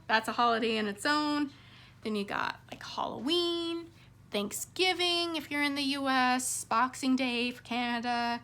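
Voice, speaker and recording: Bright, higher-voiced woman chatting while crafting. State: slightly hoarse. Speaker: craft vlog host. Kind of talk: casual rambling chat to viewers while crafting.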